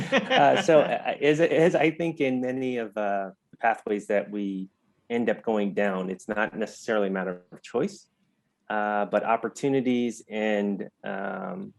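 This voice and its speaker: Low-voiced American man, measured and explanatory. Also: creaky, no echo. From United States. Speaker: interview guest, health entrepreneur. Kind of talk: thoughtful interview answers.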